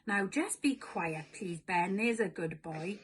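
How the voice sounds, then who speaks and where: A woman's voice: medium pitch, slow, slightly rough. A woman voicing a stern, irritable father with a firm, pedantic manner in a storybook. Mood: firm, scolding.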